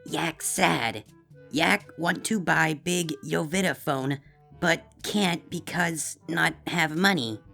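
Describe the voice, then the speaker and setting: Man doing a high, stilted female yak. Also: slow, gravelly, pushed and bright, faint music behind, exaggerated voice. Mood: stiffly sad. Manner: stilted, blunt. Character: simple, stilted female yak in broken speech.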